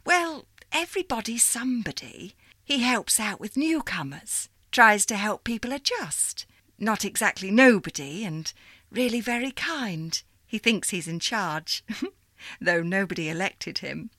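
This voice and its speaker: A woman's voice: mid-range voice, big pitch swings, unhurried, sharp tone, no room sound, clean studio sound, voice pushed up, exaggerated voice. A woman voicing a chatty older female ghost who once ran the switchboard, coaxing and familiar. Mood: knowing. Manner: coaxing, familiar, calls her dear.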